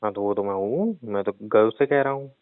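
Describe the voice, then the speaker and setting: A man's voice: on the low side, a little fast, no echo. A young man teasing his girlfriend in a comedic phone call, playful and mocking. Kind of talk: scripted comedic phone banter.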